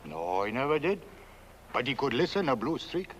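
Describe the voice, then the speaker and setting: An actor playing a plain-spoken rustic gravedigger in a comic film, folksy and matter-of-fact. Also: male voice, mid-range voice, sing-song, normal speed, fairly bright tone. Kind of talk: 1970s comic film acting. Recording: muffled old film soundtrack, faint noise.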